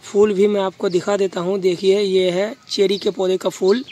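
A man's voice: high-pitched, little pitch movement, normal speed, dark tone, slight background noise. A man from Bengal with a Bengali accent, a native Bengali speaker, narrating an informal gardening tour and often repeating himself as he addresses viewers. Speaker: gardening vlogger.

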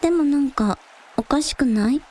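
A woman's voice: high voice, moderate pace, a little mellow, natural voice. A woman playing a casual, blunt young village idol in a radio drama, with breezy, slangy, matter-of-fact delivery. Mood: curious, casual. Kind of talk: Japanese radio drama acting.

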